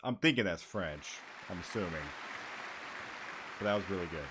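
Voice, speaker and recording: A man giving casual, relaxed reaction commentary while watching a game scene. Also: low voice, a loud crowd, very noisy. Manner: relaxed, quick remarks.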